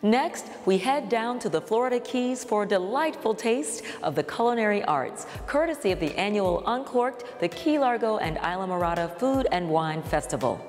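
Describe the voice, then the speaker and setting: A woman's voice: medium pitch, animated, moderate pace, few pauses, a little mellow, faint music behind. A woman in her thirties presenting a scripted introduction as host of a television arts show. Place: large indoor art museum gallery. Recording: long echoing gallery reverb.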